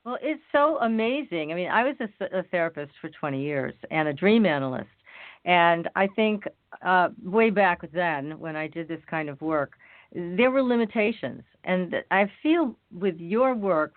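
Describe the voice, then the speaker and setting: A woman's voice: on the low side, animated, normal speed, no echo. A woman hosting a talk show, greeting her guest with enthusiastic, gushing praise. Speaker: host. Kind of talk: casual interview chat. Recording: tinny phone-like line.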